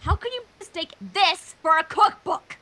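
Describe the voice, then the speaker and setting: A woman's voice: extremely high pitch, normal speed, pushed and bright. A woman voicing flustered, indignant young female cartoon ponies with a whiny, defensive manner.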